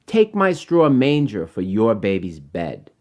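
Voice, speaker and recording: A man voicing a lonely, grumpy old ox softening into humility in a rhyming storybook. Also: fairly low pitch, huge pitch swings, a little slow. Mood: humble, gentle.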